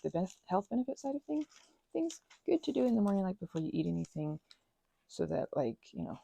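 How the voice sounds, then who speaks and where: A woman making soft-spoken ASMR at home, rambling as she crafts, full of fillers and dipping into whispers now and then. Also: medium pitch, creaky, slight background noise. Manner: meandering, filler-heavy, drifting into whispers. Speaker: ASMR creator. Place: indoors at home.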